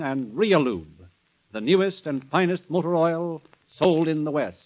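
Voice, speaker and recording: An American man narrating formally in 1930s radio style, measured and declamatory. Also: medium pitch. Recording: dull vintage radio recording with music.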